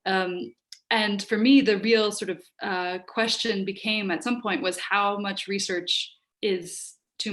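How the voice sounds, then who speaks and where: A woman with a middle-pitched voice and Hungarian as her mother tongue speaks informally on a video call. She is thoughtful and halting, thinking aloud as she explains her work as a writer. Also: a bit bright. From United States, Hungarian-speaking home. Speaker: writer giving an online workshop. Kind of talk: informal talk answering questions. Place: book-lined room at home. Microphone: video call, wearing headphones.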